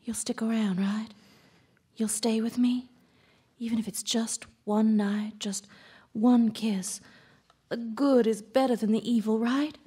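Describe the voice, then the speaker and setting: An actress playing a lovestruck, pleading young woman in a live radio drama, earnest and breathy. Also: a little high, steady tone, a little slow, warm tone.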